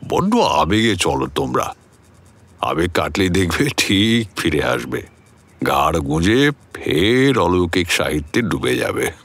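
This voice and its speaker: Older learned mentor, radio play, very deep, highly expressive, avuncular, assured. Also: male voice, measured pace, breathy edge, full character voice. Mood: genial, expansive. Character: genial older learned man, storytelling mentor, amused and assured. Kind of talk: Bengali radio drama acting.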